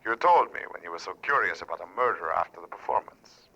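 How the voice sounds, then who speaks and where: Gravelly, sinister stage mind-reader. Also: male voice, sits low, animated, sharp tone, full character voice, rough recording. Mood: sly menace. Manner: florid, deliberate, mocking. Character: sinister, sly, theatrical male stage mind-reader, coolly menacing. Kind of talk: 1940s radio acting. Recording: old 1940s radio broadcast.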